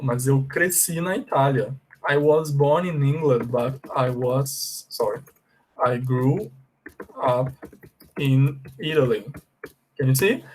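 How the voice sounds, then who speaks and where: Slow, patient Brazilian English teacher. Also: male voice, mid-range voice, darkish tone, low-quality recording. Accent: Brazilian Portuguese. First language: Portuguese. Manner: slow, deliberate explaining with frequent check-ins. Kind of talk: bilingual language lesson.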